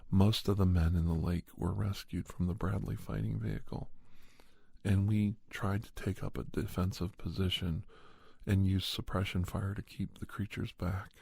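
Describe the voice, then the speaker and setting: A man narrating a first-person horror story in ASMR style, with a measured, halting delivery in short phrases. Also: low-pitched, fairly even intonation, creaky, mellow, husky, no room sound. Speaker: podcast host and storyteller.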